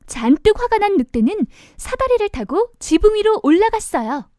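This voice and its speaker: A woman with a high-pitched voice tells a children's storybook. Her narration is expressive and animated, swinging widely in pitch, and pitched simply for young children. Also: normal speed, no echo, clean studio sound.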